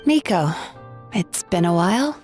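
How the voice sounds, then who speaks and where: A woman playing a composed, kindly bathhouse therapist in an anime game, speaking in a calm, measured, reassuring way. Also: fairly low pitch, soft background music. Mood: wry. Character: composed, kindly, professional female therapist at a hot spring bathhouse.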